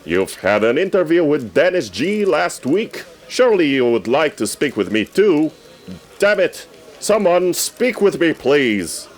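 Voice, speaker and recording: A man playing an eager radio correspondent reporting from a red carpet in a comedy sketch, formal and theatrically excited. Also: a little high, a little slow. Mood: eager, pleading.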